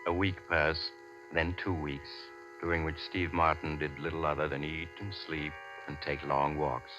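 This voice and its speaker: An actor narrating a 1940s radio suspense tale in a measured, ominous, theatrical way. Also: male voice, a bass voice. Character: ominous, composed mystery-story narrator. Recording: dull vintage radio recording.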